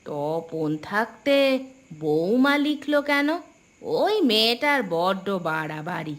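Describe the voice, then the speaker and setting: A woman playing a grumpy, spiteful old village grandmother who scolds and complains in an animated story. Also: medium pitch, slow pace. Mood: disapproving. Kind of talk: Bengali animated story dialogue.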